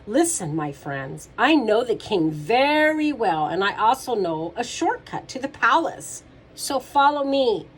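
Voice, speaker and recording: Woman as male fox, storybook villain, sly, measured, persuasive, falsely concerned. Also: a little high, sing-song, breathy edge, heavily acted, low-quality recording. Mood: smooth, persuasive.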